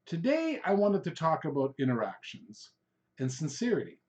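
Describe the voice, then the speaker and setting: A man's voice: on the high side, huge pitch swings, moderate pace, darkish tone. A man whose first language is Hungarian hosts a solo podcast, giving loose, rambling coaching talk with frequent "um" and "all right?" checks. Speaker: podcast host, real estate coach. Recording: dull lo-fi recording.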